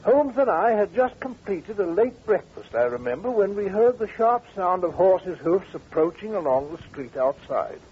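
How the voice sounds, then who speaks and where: An actor playing a genial older English gentleman, chatty and avuncular, telling stories in old radio drama style. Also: high voice, big pitch swings, moderate pace, hardly any pauses, slightly rough, exaggerated voice. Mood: genial reminiscing. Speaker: narrator and sidekick. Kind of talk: 1940s radio acting. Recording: muffled, faintly noisy old radio recording.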